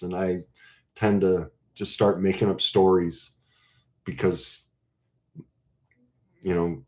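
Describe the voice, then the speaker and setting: A man speaking as a relationship coach, earnest and emphatic, breaking his talk into short, deliberate phrases. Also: low-pitched, a little mellow. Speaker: relationship coach and podcast host. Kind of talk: coaching talk to camera with role-played example conversations.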